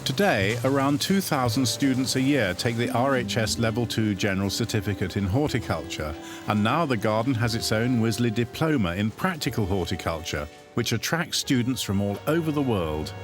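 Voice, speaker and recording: A man presenting a documentary about a garden, speaking in a measured, explanatory way. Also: medium pitch, animated. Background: background music.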